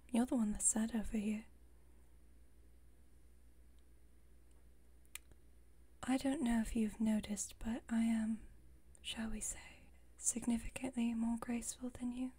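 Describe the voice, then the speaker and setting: A woman playing a haughty yet protective, playful female vampire in a soft, whispered ASMR roleplay, with formal, wry, teasing speech. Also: fairly high pitch, breathy edge. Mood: dry, teasing.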